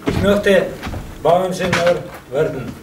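A young man with a notably high voice speaks slowly and earnestly, choosing simple words. He is far from the mic in an echoey theatre hall full of room noise. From Ukraine. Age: young adult. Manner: earnest, careful learner's phrasing. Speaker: stage performer. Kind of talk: simple self-introduction on stage. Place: theatre stage, indoors. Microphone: audience camera far from the stage.

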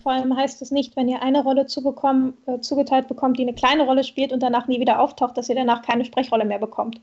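Muffled voice chat, female, high pitch, creaky, emphatic, casual co-host. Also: level tone, fast talker, a little mellow. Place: room at home, on a group video call. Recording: slightly dull voice-chat sound.

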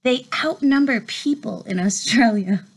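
A woman in her thirties reading a children's nature book aloud in an expressive storytime manner, recorded at home. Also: a little high, a little slow, slightly rough, poor audio. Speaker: read-aloud host. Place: small room at home, indoors.

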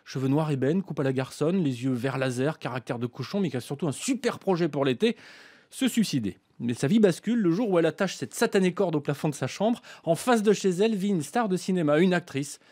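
A man's voice: a little high, animated, fairly quick, a little mellow. A middle-aged man presenting a scripted book review in a radio studio, reading passages aloud. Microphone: studio microphone, headset monitoring.

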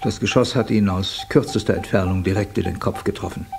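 An actor playing a frank, composed surgeon in a German radio play, dry and matter-of-fact. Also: male voice, low-pitched, fairly even intonation, a little fast, creaky, noisy background. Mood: bluntly clinical. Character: frank, composed male surgeon, dryly blunt.

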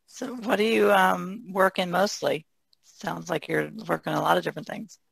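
A woman's voice: fairly low pitch, fairly even intonation, moderate pace, vocal fry, breathy edge, dry recording. A woman artist on a podcast, chatting casually and asking simple questions.

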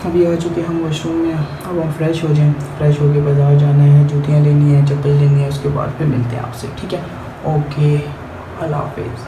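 A young man talks to his phone camera as he walks through streets and a busy shop. He rambles in a casual, chatty way and keeps checking in with his viewers. Loud noise runs behind him the whole time. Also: fairly high pitch, monotone, brisk. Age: young adult. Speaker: vlogger. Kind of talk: casual vlog walk-through. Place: walking through streets and a large bakery shop. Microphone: phone camera held in hand.

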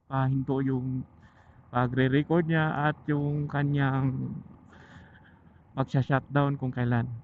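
A man with a Filipino accent chatting casually and haltingly to viewers while riding a motorcycle, speaking through a helmet intercom microphone. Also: medium pitch, level tone. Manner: chatty, halting, thinking aloud. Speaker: motorcycle vlogger. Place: outdoors riding a motorcycle on mountain roads. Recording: muffled phone-like helmet intercom, loud vehicle noise.

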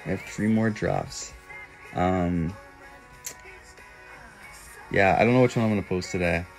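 A man's voice: measured pace, faint music behind, rough recording. A young man hosting a casual livestream from a small room, reading and answering viewer comments in a playful way. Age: young adult. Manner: playful, bursts into high owl hoots. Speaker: livestream host. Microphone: phone held close.

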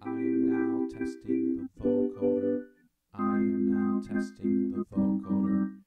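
A man's voice: lowish voice, wildly swooping pitch, slow. A man speaking a demonstration phrase through a vocoder, his voice turned into robotic synth chords. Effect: vocoder, robotic synth chords mixed with voice. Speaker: tutorial instructor demonstrating a vocoder. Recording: muffled band-limited vocoded voice over organ chords.

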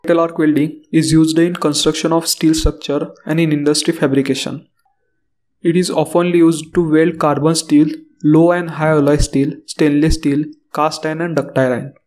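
Flat-voiced man reading a technical lesson. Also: a little high, moderate pace. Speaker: instructor. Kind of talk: scripted technical explainer narration.

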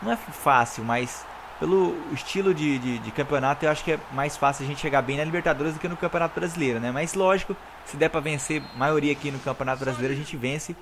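A young Brazilian man, hoarse from recording, giving excited, slangy commentary over his own football video game match and talking straight to his viewers. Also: a little high, quick pace, background noise. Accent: Brazilian Portuguese. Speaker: gaming YouTuber. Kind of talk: live gameplay commentary.

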